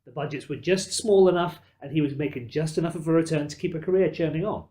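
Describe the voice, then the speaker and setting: A man's voice: fairly high pitch, fast, warm tone. A man co-hosting a podcast, chatting about films with wordy, theatrical phrasing. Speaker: podcast co-host. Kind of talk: casual film discussion.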